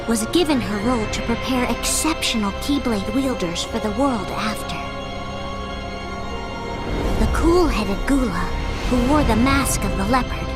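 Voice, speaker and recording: A measured storybook narrator, voiced by a woman telling a legend in a game cutscene. Her voice sits a little high, and loud orchestral game music fills the background. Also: close to an everyday voice.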